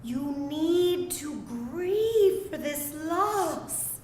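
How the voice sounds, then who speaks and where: A woman playing an overearnest female doctor or therapist at a live script read, grave and deliberate. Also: animated, a little mellow, soft background music, heavily acted. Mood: mournful, overwrought. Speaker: actor at a screenplay table read. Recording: roomy, distant mic, faint rumbling noise.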